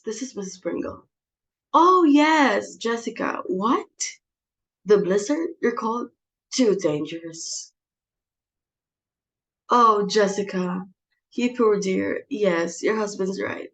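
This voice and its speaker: Woman acting a fussy, imperious society hostess. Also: medium pitch. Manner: theatrical, scolding, fussy. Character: flustered, imperious society hostess and mother, snobbish and exasperated. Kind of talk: dramatic reading of a stage comedy.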